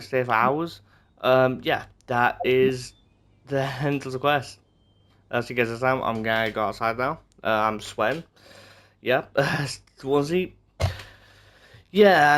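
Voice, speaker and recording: A man's voice: mid-range voice, moderate pace, fairly bright tone, clean tone. A man giving casual gameplay commentary, a little hesitant and often repeating his phrases as he reacts. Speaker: gaming video creator.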